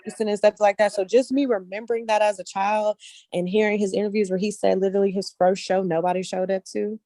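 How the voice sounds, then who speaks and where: A woman speaking casually as an interview guest, telling personal stories in an animated, earnest and colloquial way, often checking in with her listeners. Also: mid-range voice, fast, no room sound. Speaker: guest, actress and casting director.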